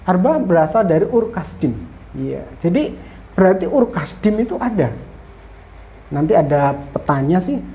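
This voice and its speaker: A woman's voice: fairly low pitch, sing-song, normal speed, dark tone. A woman giving an informal lecture as a Bible teacher, explaining point by point and repeating herself with small check-in tags. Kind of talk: informal lecture explaining Old Testament introduction.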